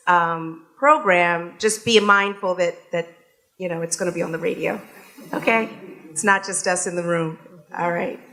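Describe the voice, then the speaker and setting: A woman's voice: on the low side, a bit bright. A woman opening a live panel event as host, speaking casually to an audience in a room, with frequent fillers and restarts. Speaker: radio station executive and event host.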